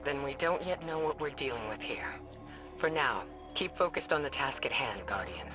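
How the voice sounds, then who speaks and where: An actor playing a cautious, authoritative leader of guardians in a video game, measured and firm. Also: male voice, medium pitch, animated, over music, natural voice. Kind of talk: video game dialogue acting.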